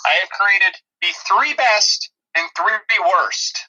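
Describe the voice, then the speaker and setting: A man's voice: high voice, animated, slow pace, bright, forceful tone, highs cut off. A man co-hosting a movie review podcast, chatting in a dry, disparaging way. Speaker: podcast co-host.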